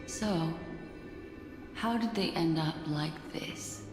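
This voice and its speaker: Slow, enigmatic, contemplative investigator. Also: female voice, low voice. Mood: pensive. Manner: measured, contemplative, cryptic. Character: composed, enigmatic woman investigator musing alone. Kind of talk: video game voice acting. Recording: echoey reverberant space, music behind.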